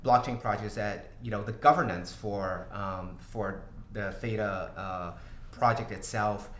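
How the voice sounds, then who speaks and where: A man's voice: on the low side, a bit bright, a little hiss or hum. Middle-aged guy from brazil hosting a stream q&a, lots of uhs and ums, roomy office sound. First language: Portuguese. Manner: halting, many fillers and restarts, laughs easily. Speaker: company CEO hosting an AMA. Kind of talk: casual livestream Q&A. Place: small office studio with glass walls.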